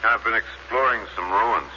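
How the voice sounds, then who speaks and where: An actor playing a solemn, fanatical renegade explorer turned killer, deliberate and menacing, in old-time radio drama style. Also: male voice, on the low side, pushed and bright. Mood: solemn, intent. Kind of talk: 1950s American radio drama acting. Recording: muffled noisy old radio recording.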